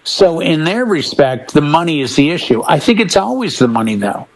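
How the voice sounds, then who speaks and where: Middle aged guy on a panel, kinda high voice, opinionated, tells stories casually. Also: sing-song, normal speed, poor audio. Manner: opinionated, emphatic storyteller. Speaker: talk show panelist. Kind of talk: casual music chat.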